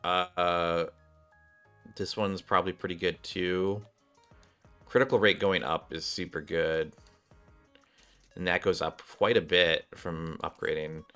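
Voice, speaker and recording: A man's voice: low voice, level tone, measured pace, long pauses, bright, forceful tone, clear, resonant tone, soft background music. A man doing casual game commentary, thinking aloud in a rambling way, with a slightly worn voice. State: voice slightly off, recovering. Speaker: gaming streamer, host.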